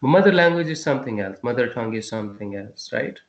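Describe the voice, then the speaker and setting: A male teacher giving a class lesson, quizzing his students, with a halting delivery full of fillers and restarts. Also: mid-range voice, expressive, reverberant.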